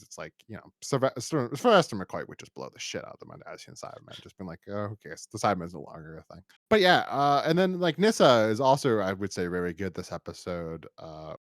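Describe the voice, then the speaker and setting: A man's voice: sits low, no echo, clean studio sound. A man co-hosting a podcast, talking casually and in a rambling way as he reviews and acts out imagined lines. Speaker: podcast co-host.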